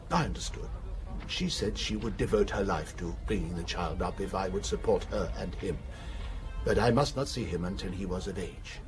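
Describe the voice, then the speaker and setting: An actor playing a rich, wronged man making an anguished confession in a radio drama, in halting, clipped phrases. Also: low voice, close to an everyday voice. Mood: grave, restrained. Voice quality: wheezy.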